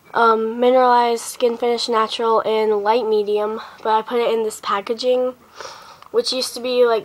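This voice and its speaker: Sniffly teenage girl doing a makeup tutorial. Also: on the high side. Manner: chatty, step-by-step, talking while applying makeup. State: sick and sniffly. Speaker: beauty vlogger. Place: small bedroom indoors. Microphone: handheld device camera close up. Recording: faint background noise, lo-fi device mic.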